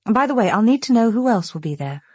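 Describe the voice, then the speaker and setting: An actress playing a cool, businesslike British private detective in a radio drama, reserved and precise. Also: female voice, on the high side, big pitch swings, fast talker, darkish tone, breathy edge, no echo.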